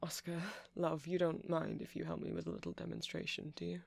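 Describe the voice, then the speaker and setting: Man playing a creaky-voiced nerdy, rambling vampire. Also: a little high, completely flat delivery, brisk, dry recording. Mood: apologetic, fond. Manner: rambling, hesitant, softly polite. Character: nerdy, gentle, apologetic male vampire music-shop clerk. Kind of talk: ASMR roleplay.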